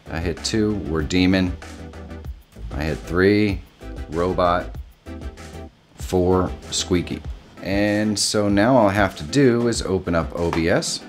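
A middle-aged man hosting a casual step-by-step software tutorial. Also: deep voice. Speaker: tutorial host. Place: small home room, indoors.

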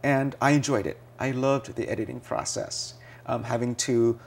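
A man in his thirties, a Vietnamese-speaking poet and editor, answering interview questions in a relaxed, thoughtful way with many fillers. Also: medium pitch, normal speed, creaky. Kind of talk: TV interview guest. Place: small TV studio set. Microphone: lapel mic.

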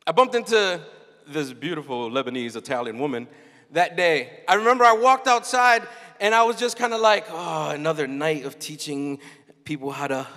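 A man in his thirties giving an animated, story-filled keynote talk to a youth conference crowd on a handheld mic. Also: sits high. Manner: animated, storytelling, acts out quotes. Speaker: keynote speaker. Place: large dark arena stage before a young crowd. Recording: long hall reverb.

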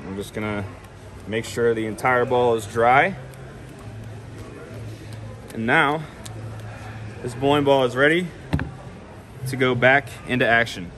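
A man's voice: mid-range voice, steady tone, slow, pauses often, background noise. A young man giving a casual hands-on product demonstration, explaining plainly step by step. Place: large carpeted indoor venue. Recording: busy public room with background chatter. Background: crowd murmur.

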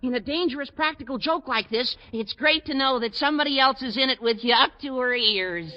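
A man's voice: extremely high pitch, fairly quick. A man playing an eager, naive teenage schoolboy in a 1940s radio sitcom, gushing earnestly in a boyish way. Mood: confiding. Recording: muffled, noisy 1940s radio recording.

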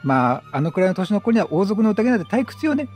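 A man puts on a prim, exasperated castle maid from a fantasy game. The voice is raised and lively, with feminine, fretting phrasing. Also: high voice, fast talker, soft background music, voice pushed up. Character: fretting female castle attendant, primly exasperated. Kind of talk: reading game dialogue aloud in character.

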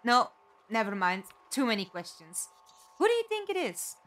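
Casting: actress as plucky, practical, questioning female companion for audio drama; high voice, animated delivery. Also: moderate pace. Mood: curious, probing. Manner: questioning, direct. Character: inquisitive, plucky, practical young woman companion.

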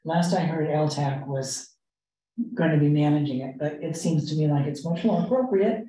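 A woman with a low, mellow voice leads a committee meeting. She explains each point in a measured, halting way. She is picked up from a distance in an echoey room. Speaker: arts commission member leading the meeting. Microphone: distant room microphone.

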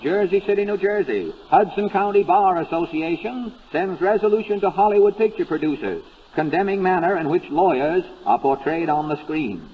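A man's voice: high voice, lively intonation, normal speed, hardly any pauses. A man announcing scripted news items in 1930s radio newsreel style. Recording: muffled, hissy 1930s radio recording.

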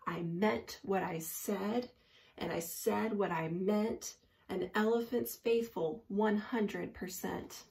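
A huge, kindly male elephant from a storybook, acted out by a woman reader. He is patient and faithful, speaking in a slow, earnest way at a middling pitch. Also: fairly even intonation, gravelly, darkish tone. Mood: steadfast. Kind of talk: storybook character voice read aloud.

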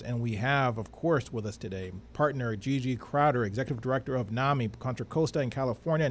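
A middle-aged man introducing a show as co-host, speaking somewhat haltingly into a close studio microphone. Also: mid-range voice. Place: small studio, indoors. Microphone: close studio microphone on arm.

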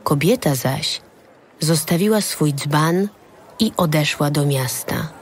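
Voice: mid-range voice, takes it slowly. A narrator reading scripted narration for a dramatised audio Bible.

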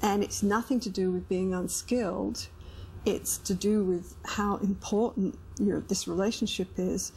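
A woman's voice: mid-range voice, steady tone, unhurried, vocal fry, warm tone, a little hiss or hum, rough recording. A middle-aged woman talking as a couples therapist, explaining things in a counselling style. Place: indoor studio set with sofas.

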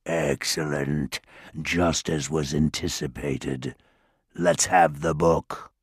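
A man voicing a haughty, scheming dwarf lord in an audiobook, speaking in a slow, gloating, menacing way. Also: extremely low pitch, steady tone, slightly rough, dry recording, studio quality, voice pushed down, exaggerated voice. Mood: sneering. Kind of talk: audiobook character voice.

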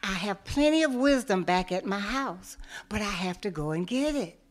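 A woman's voice: medium pitch, animated, normal speed, lightly acted. A woman voicing a frightened but cunning farmer in a folktale, speaking carefully and stammering at times. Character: frightened, cunning man farmer tricking a tiger.

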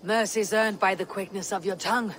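An actor playing a stern young Viking clan leader in a video game, firm, measured and authoritative. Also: male voice, high voice, steady tone, fairly bright tone, a little hiss or hum. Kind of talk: dramatic video game acting.

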